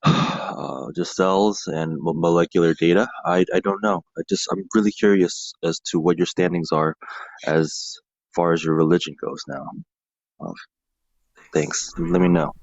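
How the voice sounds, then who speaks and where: Dull dry voicemail recording, low male voice, halting, searching, repetitive phrasing. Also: fairly even intonation, vocal fry, no echo. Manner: halting, searching, repeats words. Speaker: caller leaving a voicemail. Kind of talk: unscripted voicemail message. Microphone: phone voicemail.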